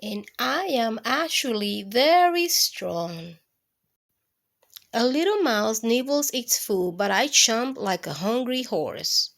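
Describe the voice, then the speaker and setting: A small child boasts about being tall, strong and brave, as a woman reads her lines in a storybook. The voice is a bit high, with a childlike, bragging lilt. It moves slowly and its pitch swings widely. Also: big pitch swings, vocal fry, fairly bright tone, heavily acted. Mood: boastful. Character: small child who insists she is big and brave.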